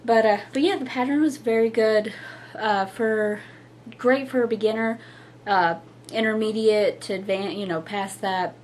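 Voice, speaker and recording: Hoarse young woman chatting. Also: on the high side, steady tone, moderate pace, darkish tone, slight background noise. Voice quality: husky. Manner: chatty, apologetic, with frequent fillers and little laughs. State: hoarse, losing her voice. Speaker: knitting podcast host. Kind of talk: casual chat to camera.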